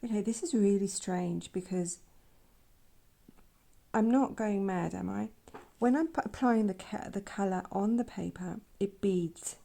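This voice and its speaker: A woman talks slowly through a painting session, her voice croaky from a sore throat and gravelly at the edges. Her tone is mellow and dark, and she drops into quiet half-whispers as she works. The effect is intimate and unhurried. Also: medium pitch. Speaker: art channel host. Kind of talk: soft-spoken painting tutorial.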